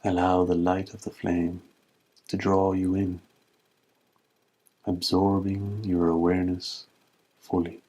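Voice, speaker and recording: A man leading a guided meditation as a teacher, speaking calmly and in measured phrases with long pauses. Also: sits low, rough recording.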